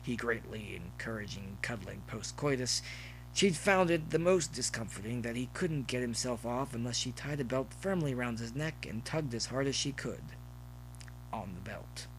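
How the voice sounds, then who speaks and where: A man's voice: mid-range voice, lively intonation, moderate pace, slight background noise, low-quality recording. A man reading a story aloud as narrator in an amateur recording. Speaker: audio fiction reader. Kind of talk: scripted story narration with asides.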